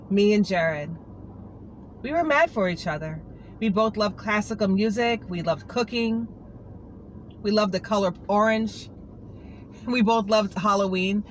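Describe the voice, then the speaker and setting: Heartbroken anchorwoman pouring her heart out to a coworker, earnest and pleading, middle pitch, as a reader puts her on. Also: a little hiss or hum, natural voice. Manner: earnest, pleading, confiding to a colleague. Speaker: monologue reader. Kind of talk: dramatic monologue reading.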